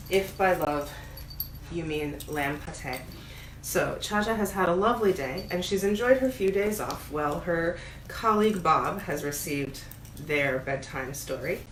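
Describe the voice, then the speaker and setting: A woman reading a storybook aloud as a bedtime story, expressively and with playful asides. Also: on the low side. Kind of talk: storybook read-aloud to a pet dog. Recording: roomy echo, audible background noise.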